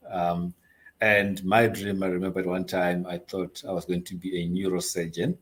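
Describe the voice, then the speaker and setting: A man's voice: low voice, little pitch movement, moderate pace. A middle-aged man from Zimbabwe, a company chief being interviewed, telling his story in a hesitant, chatty way with frequent ums and light laughs. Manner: hesitant, many ums and uhs, laughs easily. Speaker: guest, investment advisory CEO.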